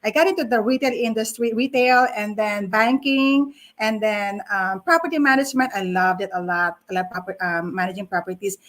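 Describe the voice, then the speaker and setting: A woman in her fifties hosting an online interview show, speaking in a deliberate, welcoming way with many fillers. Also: mid-range voice, a bit bright. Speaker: online talk show host.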